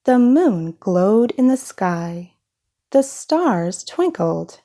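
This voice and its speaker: Unhurried, mellow woman reading a storybook. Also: medium pitch, big pitch swings. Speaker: storybook reader. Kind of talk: read-aloud children's story narration.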